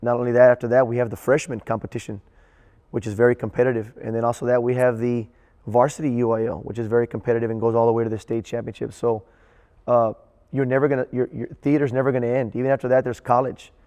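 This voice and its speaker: Even-toned man, halting, in echoey room. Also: mid-range voice. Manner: halting, repeats words while thinking. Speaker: theater director and teacher. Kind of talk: interview reflection.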